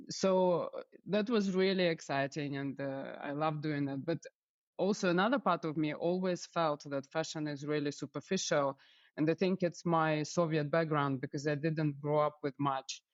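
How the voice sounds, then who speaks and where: A woman from Ukraine, a stylist guest telling her story in a relaxed interview, with many small fillers. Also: deep voice, no echo, dull audio. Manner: warm, storytelling, frequent "uh" fillers. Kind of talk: casual interview chat.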